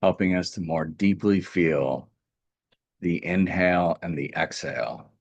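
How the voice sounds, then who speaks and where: A man leading a guided meditation as a teacher, speaking in a calm, gentle, deliberate way with pauses. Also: low voice, slightly rough, dry recording, muffled recording.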